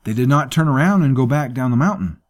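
A man's voice: medium pitch, expressive, fast talker, voice pushed up, close to an everyday voice. A man voicing a frantic, deferential young male servant who gives breathless, urgent reports to his master. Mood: alarmed.

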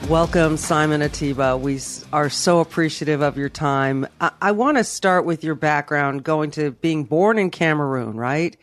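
A woman hosting a podcast interview, chatty and warm in manner, restarting her questions as she goes. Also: deep voice, normal speed, few pauses, soft background music. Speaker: podcast host.

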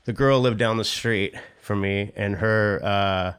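Laid-back man with a smooth low voice. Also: steady tone, a little slow, a bit bright. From Southern California. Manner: rambling, laid-back, swears, many fillers and laughs. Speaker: podcast guest, former pro skater. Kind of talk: casual interview chat.

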